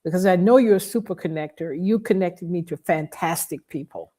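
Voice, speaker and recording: A woman's voice: lowish voice, fairly quick, dark tone. A woman hosting a conversational interview, speaking in a reflective, roundabout way as she sets up her questions. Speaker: podcast host, interviewer.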